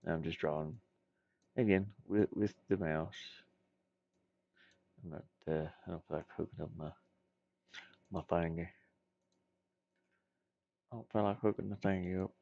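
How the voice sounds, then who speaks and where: A man's voice: deep voice, fairly even intonation, unhurried, pauses often, no echo, lo-fi sound. A man thinking aloud in casual, rambling chat as he works on game art, muttering and making playful asides. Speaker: indie game designer streaming.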